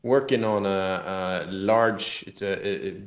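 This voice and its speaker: A man with a fairly low voice talks casually as an interview guest. He explains in loose, searching phrases, doubling back on words. The recording has a clear room echo around his voice. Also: measured pace. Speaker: game designer and interview guest. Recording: roomy echo.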